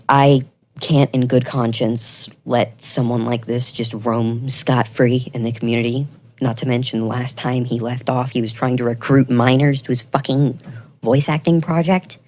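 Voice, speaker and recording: This is a video creator with a voice of middling pitch. The delivery is semi-scripted commentary, earnest and pointed. Key words get firm emphasis, and the tone is accusatory. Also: a little mellow, slight background noise.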